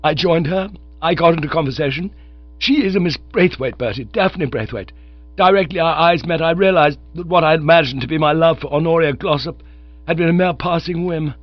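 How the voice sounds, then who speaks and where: A man reading aloud while voicing an excitable young gentleman who gushes about falling in love. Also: a little high, fairly quick, no echo, soft background music, voice pushed up, lightly acted. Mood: gushing, smitten. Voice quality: gushing, breathless, eager. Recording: dull lo-fi recording.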